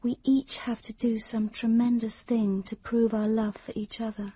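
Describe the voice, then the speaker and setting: An actress in a radio drama playing an intense, obsessive young woman lover, earnest and solemn, with a soft breathy voice. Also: fairly high pitch, little pitch movement, moderate pace, no room sound. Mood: ardent, earnest.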